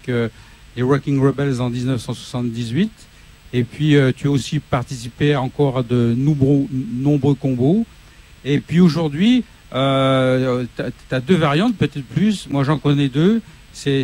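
A man's voice: mid-range voice, darkish tone. A man hosting a studio interview who keeps hesitating and restarting his sentences. He sounds like a live local show presenter talking to a guest musician on set. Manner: hesitant, many fillers and restarts.

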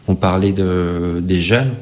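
A man in his late thirties speaking as a panel guest, halting with many filler sounds. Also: low voice. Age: 30s. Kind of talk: casual discussion.

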